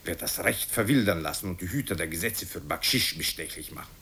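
A man's voice: deep voice, animated, brisk, sharp tone, breathy edge, full character voice. A man voicing a pompous politician who declaims self-righteous accusations with emphasis in a satirical radio story. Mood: accusing, righteous. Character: pompous male politician hurling accusations at his rival.

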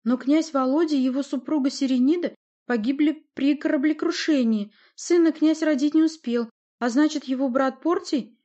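Woman as a polite, high-voiced, questioning nobleman. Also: animated, normal speed, few pauses, dark tone, dull audio, lightly acted. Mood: timid, questioning. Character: timid but persistent noble boyar man, formal.

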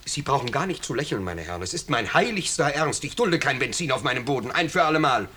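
A pompous middle-aged householder in a vintage German radio drama. His pitch swings widely as he blusters with self-important indignation and little real courage. The old recording is faintly noisy. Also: big pitch swings, fast, pushed and bright. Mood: indignant. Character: blustering, pompous, cowardly bourgeois husband and house owner.

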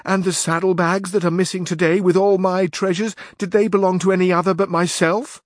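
A man's voice: lively intonation, normal speed, no echo. A man doing a wailing peasant squire. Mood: indignant. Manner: high, wailing and drawn out. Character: panicky peasant squire, plain and complaining. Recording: dry close recording.

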